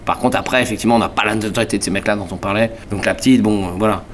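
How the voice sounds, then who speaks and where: A fast-talking musician in his forties from the Paris suburbs chats in a radio interview. His talk is slangy and rambling, full of fillers and restarts. A faint hum of room ambience runs behind him. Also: fairly low pitch, fairly even intonation. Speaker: band member, musician guest. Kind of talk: casual radio interview chat.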